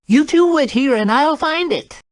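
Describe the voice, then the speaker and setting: A woman voicing a bossy, fussy male rabbit in a children's storybook, brisk and insistent. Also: high voice, expressive, no room sound, clean studio sound, pitched above the performer's own voice. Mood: stubborn.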